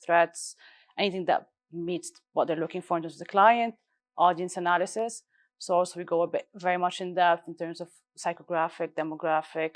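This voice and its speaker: A woman in her thirties from Lebanon, a research director explaining her work fluently in a live panel interview. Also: fairly low pitch. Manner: fluent, explanatory, with light fillers. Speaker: guest speaker, research director.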